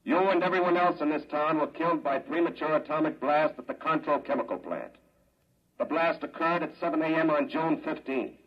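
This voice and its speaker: A smug mastermind in a vintage radio drama, with a mid-pitched voice. He is condescending and unhurried in his certainty, a cold, urbane scientist. The recording is dull and old. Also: slightly rough. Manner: condescending, urbane, matter-of-fact. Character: calm, smug male mastermind scientist running a cruel experiment. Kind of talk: 1950s radio drama acting.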